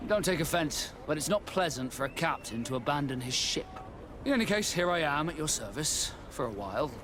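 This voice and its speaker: An actor playing a reserved, dry sea captain in a video game, curt but polite. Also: male voice, fairly high pitch, expressive, fairly quick, background noise, natural voice. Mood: reluctant. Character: guarded, dry sea captain, reluctantly at service.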